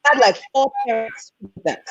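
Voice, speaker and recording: A woman with a Nigerian accent, a film and TV producer, telling animated stories as a guest on a video call. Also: fairly high pitch, moderate pace, muffled recording. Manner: animated, repeats words for emphasis. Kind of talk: candid storytelling in a conversation.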